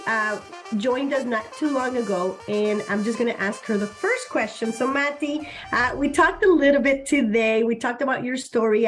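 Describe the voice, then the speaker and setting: Host, woman, upbeat, talks straight to the viewers, video call with clear music in the background. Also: fairly high pitch. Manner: upbeat, addresses the audience directly. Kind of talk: hosting an online panel.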